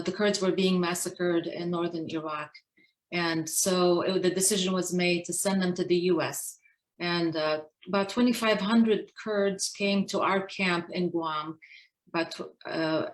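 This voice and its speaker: A middle-aged woman giving a prepared personal talk as a guest speaker, in a measured, explanatory manner. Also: fairly low pitch, poor audio. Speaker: guest speaker, Navy dentist.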